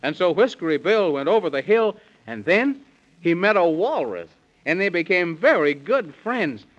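A man with a fairly high, very lively voice tells a children's story in rhyme. His delivery is theatrical and singsong, like 1930s radio, and the recording is dull and vintage. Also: moderate pace. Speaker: narrator.

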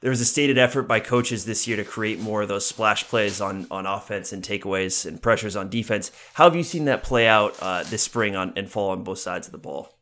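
An American man with a fairly low-pitched voice speaks as a podcast host. He puts questions to a guest in a conversational sports interview style. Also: a little fast, few pauses, bright, forceful tone. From Seattle, United States.

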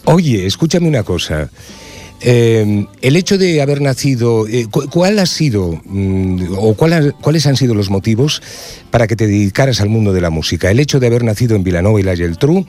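Hesitant low-voiced male radio host. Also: animated, normal speed, darkish tone, soft background music. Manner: hesitant, chatty, many fillers. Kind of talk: casual radio interview.

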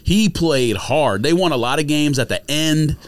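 A man's voice: fairly high pitch, expressive, moderate pace. A man in a casual sports debate, speaking emphatically and with opinions, using slang as a podcast host.

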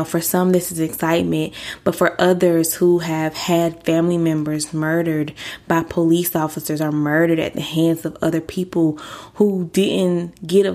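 A young woman hosting a faith-based podcast, six months pregnant and a little out of breath, talking earnestly and casually to her listeners. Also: darkish tone. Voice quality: deeper than usual. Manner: earnest, chatty, uses y'all. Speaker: podcast host.

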